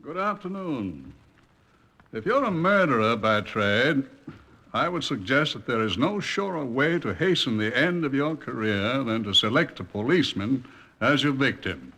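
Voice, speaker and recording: A man's voice: medium pitch, lively intonation, moderate pace, sharp tone. A British man playing a formal, wry police museum curator briefing listeners in a radio crime drama. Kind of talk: 1950s radio drama presenting.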